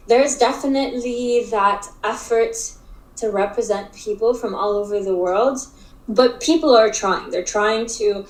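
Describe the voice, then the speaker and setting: A young woman from Africa, a guest in a casual interview, speaks in halting phrases full of fillers and restarts. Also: medium pitch. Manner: halting, filler-heavy speech with frequent restarts. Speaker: interview guest, digital art expert. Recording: roomy echo.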